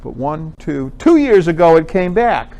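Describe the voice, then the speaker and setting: An American man giving an informal presentation, explaining things conversationally and pausing to rephrase. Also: a little high, very animated, dark tone. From Connecticut, United States. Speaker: amateur radio contest organizer, presenter. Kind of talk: informal club presentation with slides.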